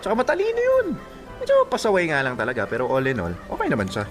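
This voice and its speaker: An actor with a Filipino accent playing an easygoing young office buddy in a radio drama, teasing and coaxing. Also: male voice, sits high, wildly swooping pitch, quick pace. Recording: music bed underneath.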